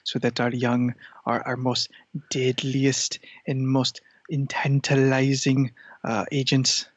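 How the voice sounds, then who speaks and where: Man playing a haughty, flat-toned scheming cat overlord. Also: medium pitch, slow, dry recording, lo-fi sound, natural voice. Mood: sly, grandiose. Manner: stilted, pompous formal speech. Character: haughty male alien cat plotting world domination. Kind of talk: in-character improvised comedy interview.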